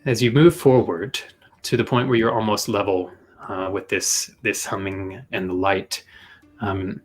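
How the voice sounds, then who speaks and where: Low-voiced male game master. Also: steady tone, measured pace. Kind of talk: improvised tabletop game narration. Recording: music behind the voice. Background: music.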